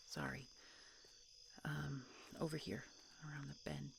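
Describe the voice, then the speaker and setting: A woman plays a tsundere dragon hunter with a gravelly fry in her voice. She is prickly and guarded yet softening, speaking in grudging, halting, often hushed phrases. It is an intimate fantasy audio roleplay, with faint insect ambience behind her. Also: deep voice, background noise, exaggerated voice. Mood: awkward, hesitant.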